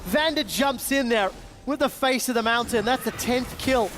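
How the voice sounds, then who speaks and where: High-voiced play-by-play esports caster. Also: male voice, a bit bright, slight background noise. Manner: dry banter, brisk play-by-play. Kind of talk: play-by-play commentary. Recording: music bed under commentary.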